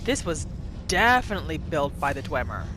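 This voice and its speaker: Female gaming host, expressive roleplay commentary, wide swooping intonation, clear game ambience underneath. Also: sits low, normal speed. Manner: animated, expressive reactions. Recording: voice over noisy game ambience. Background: video game ambience.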